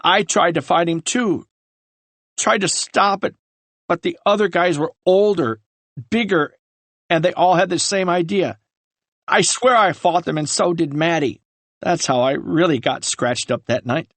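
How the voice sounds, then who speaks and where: A man voicing a guilt-ridden young man who confesses haltingly, on the edge of tears. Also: a little high, fairly bright tone, dry recording. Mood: haunted. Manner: halting, breaking with remorse. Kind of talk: audiobook character reading.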